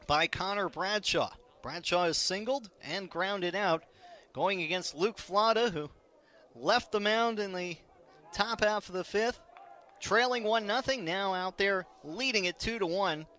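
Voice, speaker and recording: Bright, high-pitched male baseball announcer. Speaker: radio baseball play-by-play announcer. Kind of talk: live radio sports commentary.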